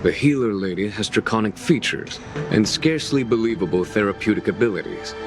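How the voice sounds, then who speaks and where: An actor playing a calm, genial general in a video game, speaking in measured, eloquent and formal words. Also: male voice, on the low side, lightly acted. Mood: measured, shrewd. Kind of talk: video game cutscene acting. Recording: music behind the voice.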